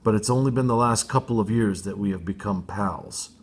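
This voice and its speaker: Low-voiced man reading a children's novel aloud. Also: a little fast, slightly rough. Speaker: storybook reader. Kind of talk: expressive audiobook narration for young readers.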